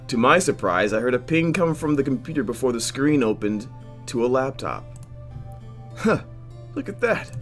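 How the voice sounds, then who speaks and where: A man hosting a game playthrough, reading visual novel narration aloud in an expressive way, stumbling now and then and dropping in joking asides. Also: mid-range voice. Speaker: host of a video game playthrough.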